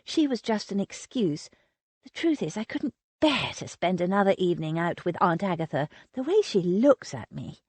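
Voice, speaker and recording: A woman voicing a shy but quietly defiant young woman in a storybook reading, speaking softly and earnestly. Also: lowish voice, sing-song, normal speed, husky, no room sound.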